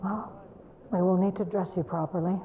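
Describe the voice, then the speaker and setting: An actress playing a commanding, self-assured matriarch in a period western, imperious, formal and clipped. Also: female voice, fairly low pitch, fairly even intonation, moderate pace, phone-quality sound, lightly acted. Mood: commanding, coolly assured. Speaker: actress in a TV drama. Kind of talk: period western screen acting. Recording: thin, noisy TV show playback outdoors.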